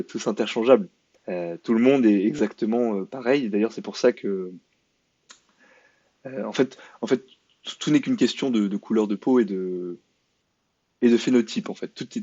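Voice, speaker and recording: A man's voice: lowish voice, darkish tone, dry recording. A French-born man talking through a sarcastic commentary monologue, full of fillers and restarts. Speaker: video commentator. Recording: dry, slightly dull lo-fi sound.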